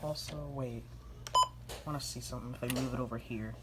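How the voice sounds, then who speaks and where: A woman's voice: extremely low pitch, fairly even intonation, fairly quick. A woman chatting casually off the cuff, muttering wry asides as she handles a radio. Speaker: vlogger demonstrating a radio. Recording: very noisy, radio playing nearby.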